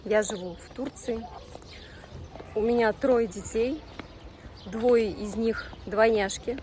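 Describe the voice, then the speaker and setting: A woman in her thirties chatting casually to camera as a vlogger introducing herself, often pausing mid-sentence. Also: a little high, mellow. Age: 30s. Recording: clearly noisy amateur vlog sound.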